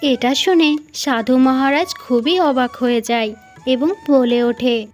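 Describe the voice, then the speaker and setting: Female storyteller for cartoon fairy tales, Indian Bengali accent, higher-pitched, slow and measured over music. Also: darkish tone. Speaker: storyteller narrator. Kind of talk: scripted fairy-tale narration.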